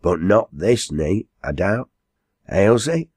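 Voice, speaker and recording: A man's voice: low voice, lively intonation, takes it slowly, darkish tone, husky, no room sound, clean studio sound, pitched above the performer's own voice, exaggerated voice. A man voicing a blunt, hearty rural quarryman in a storybook, with emphatic Lancashire dialect. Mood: gruff, doubtful.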